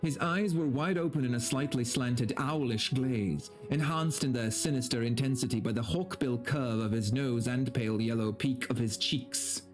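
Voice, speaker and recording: A man giving a dramatic, deliberate story reading, dropping into casual wry asides. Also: mid-range voice, faint music behind. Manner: theatrical, deliberate, breaks off into casual wry remarks. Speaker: streamer reading aloud.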